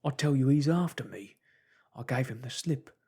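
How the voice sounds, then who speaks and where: A man's voice: lively intonation, moderate pace, mellow, slightly rough. A man voicing a frantic, terrified male tramp who pleads and shrieks while on the run. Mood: panicked. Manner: shrill and pleading.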